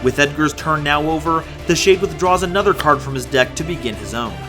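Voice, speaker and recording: A man's voice: fairly high pitch, brisk. A man narrating an audio drama from a script, in a theatrical storytelling manner. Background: prominent music.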